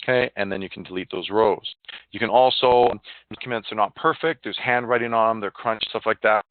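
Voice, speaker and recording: A man with a fairly low voice walks listeners through an online software demo. He explains informally and haltingly, and the sound is thin and phone-like, with no room around it. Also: fairly even intonation, no echo. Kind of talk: live online product demonstration, walkthrough. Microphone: phone or web conference call. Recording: narrow phone-like line.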